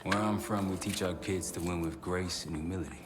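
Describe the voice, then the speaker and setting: A man's voice: deep voice, steady tone, brisk, very noisy, natural voice. A man acting a confident middle-aged American intelligence operative in a video game cutscene. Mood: wry. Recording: loud music bed behind the voice.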